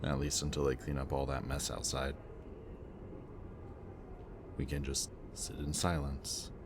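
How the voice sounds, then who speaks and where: A man speaking a gentle, hesitant roleplay monologue to the listener as a soft-spoken villain. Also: a bass voice, monotone, a little slow, noisy background.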